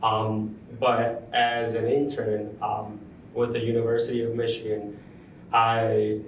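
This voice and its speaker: A man's voice: on the low side, monotone, slow, slight background noise. Man speaking from across an echoey room. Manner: thoughtful, frequent ums, restarts. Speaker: construction project superintendent, guest. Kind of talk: casual interview conversation. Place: large room at a university center. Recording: roomy, distant mic, faint ambience.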